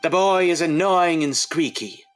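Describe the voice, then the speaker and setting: An actor playing an anxious, exasperated Edwardian husband, pleading in an audio drama. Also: male voice, fairly high pitch, a little slow, a bit bright, soft background music. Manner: fretful, pleading.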